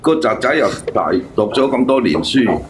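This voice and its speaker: Scolding man with very animated pitch. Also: normal speed. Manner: argumentative, scolding. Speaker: caller giving commentary. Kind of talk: opinionated phone-in debate. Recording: faint noise and ambience behind.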